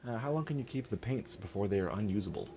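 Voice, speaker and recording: A man's voice: on the low side, lively intonation, quick pace, dark tone. A man co-hosting a live painting stream and reading out viewer questions, friendly and easygoing, his throat a little off from a cold. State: recovering from a cold, throat a bit off.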